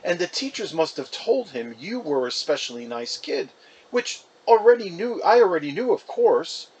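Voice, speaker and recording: A man voicing a polite, proud mother gently persuading her child. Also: high-pitched, sing-song, normal speed, slight background noise, pitched above the performer's own voice, poor audio. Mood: proud, flattering.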